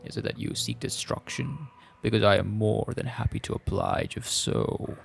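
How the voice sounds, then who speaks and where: Visual novel monster played by a man: contemptuous she-beast, breathy and guttural, formal, slow menace. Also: fairly low pitch, huge pitch swings, faint music behind, heavily acted. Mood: annoyed. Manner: slow, haughty, formal speech. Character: menacing female forest monster, contemptuous of humans.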